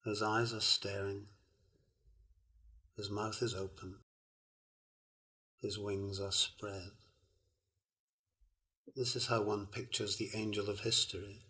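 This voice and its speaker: An older man in a film, reciting a philosophical text slowly and solemnly. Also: on the low side. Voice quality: slow, measured, flat delivery. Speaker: reader in the artist's film. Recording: soundtrack of a film played back.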